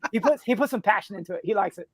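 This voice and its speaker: High-voiced, squeaky man. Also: animated, rapid-fire, fairly bright tone. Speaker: guest author. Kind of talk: casual podcast interview.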